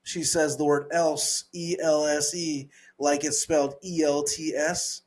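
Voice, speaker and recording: A man's voice: on the high side, flat delivery, measured pace, a little mellow. A man with a Southern accent co-hosting a podcast, chatting casually, laughing often and trailing off while he searches for words. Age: adult. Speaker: podcast co-host. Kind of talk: casual chat.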